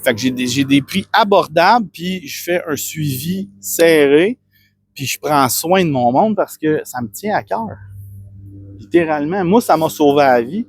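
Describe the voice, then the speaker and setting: A man's voice: on the high side, animated, a little slow, slightly rough, no room sound, clean studio sound. A man with a Québécois French accent, a fitness coach talking earnestly and rambling in a casual self-promotional monologue. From Quebec. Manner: rambling, earnest, many restarts and fillers.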